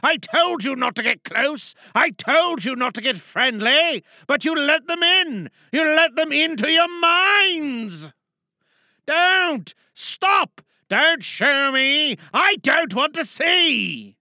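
A stern female space captain played by an amateur actress, gripped by paranoia. Her high voice is piercing and forceful as she commands and pleads in slow, weighty phrases, like a desperate leader in an audio drama monologue. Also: lively intonation, no room sound, studio quality, heavily acted. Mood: alarmed, commanding. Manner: pleading, commanding, theatrical outbursts.

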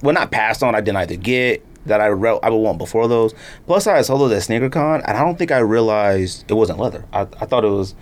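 A man's voice: lowish voice, expressive, brisk. A man hosting a sneaker podcast, chatting casually in slang and telling rambling stories in a conversational way. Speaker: podcast host. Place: podcast studio.

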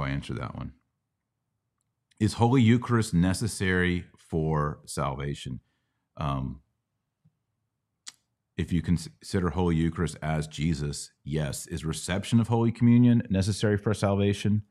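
A middle-aged American man with a notably low voice hosts a question-and-answer talk. He explains in a conversational, instructive way, often stopping to pose a rhetorical question and then continuing. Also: animated, moderate pace, long pauses, a little mellow. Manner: didactic, checking with rhetorical questions. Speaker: podcast host answering religious questions. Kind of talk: conversational Q&A teaching.

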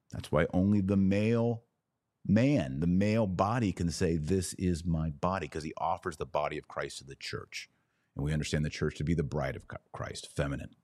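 A man hosting a podcast, giving opinionated religious commentary in an emphatic, declamatory manner with pauses between short phrases. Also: fairly low pitch, a little mellow, dry recording.

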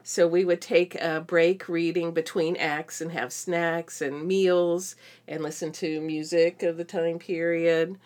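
A middle-aged woman speaks in a slightly low register. She co-hosts a podcast and talks informally, as in an everyday conversation with a friend. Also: flat delivery, unhurried, darkish tone. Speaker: podcast co-host, homeschooling mother. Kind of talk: casual conversational chat.

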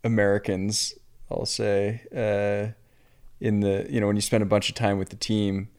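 A young adult man from the US Pacific Northwest, a professional cyclist chatting casually on a podcast in a relaxed, rambling way. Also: on the low side, normal speed, clean tone. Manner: relaxed, rambling, self-deprecating.

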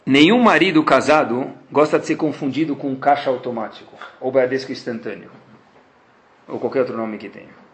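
A man's voice: medium pitch, brisk, roomy sound, a little hiss or hum. A man with a Brazilian Portuguese accent, a rabbi teaching a class to his students, telling stories and acting out the dialogue in them. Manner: animated storytelling, acts out quoted dialogue. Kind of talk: Torah class lecture.

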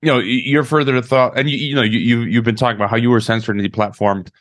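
A man's voice: medium pitch, steady tone, quick pace. A man hosting an interview who stammers and repeats words as he gathers his thoughts. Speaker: interview host. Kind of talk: conversational interview.

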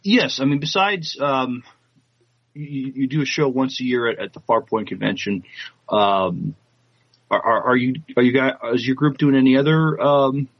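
A man co-hosting a podcast who asks questions haltingly, repeating words as he starts. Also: mid-range voice, lo-fi sound. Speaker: podcast co-host.

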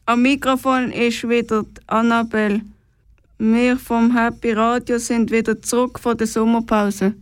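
Woman host, Swiss German accent, scripted radio opening. Fairly high voice with flat intonation, delivered as a show introduction. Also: a little slow, clean tone, dry recording.

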